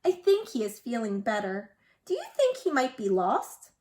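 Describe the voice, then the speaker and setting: A woman's voice: fairly high pitch, huge pitch swings, normal speed, darkish tone. A woman voicing an earnest young girl writing letters in a picture-book read-aloud. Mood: eager, earnest.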